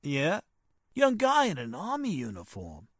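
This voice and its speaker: A woman playing a gruff, suspicious male detective with rough, slangy talk, in 1940s radio drama style. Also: low voice, huge pitch swings, measured pace, no room sound, clean studio sound, exaggerated voice. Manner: rough, slangy, ungrammatical wise-guy talk.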